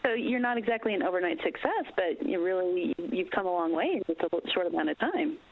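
A woman's voice: lowish voice, animated. An American woman hosting a radio interview, upbeat and encouraging, asking friendly questions. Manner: upbeat, encouraging, quick to laugh. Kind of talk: casual interview. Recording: tinny phone-like radio line with faint hiss.

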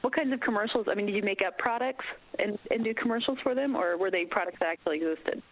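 A woman's voice: mid-range voice, no room sound. An American woman hosting a radio interview, upbeat and encouraging, asking friendly questions. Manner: upbeat, encouraging, quick to laugh. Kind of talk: casual interview. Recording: tinny phone-like radio line with faint hiss.

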